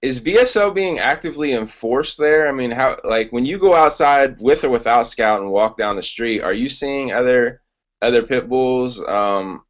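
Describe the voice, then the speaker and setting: A man hosting a podcast interview who speaks in a measured, thoughtful way, with frequent "uh" fillers. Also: medium pitch, animated, lo-fi sound. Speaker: podcast host. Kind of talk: casual interview.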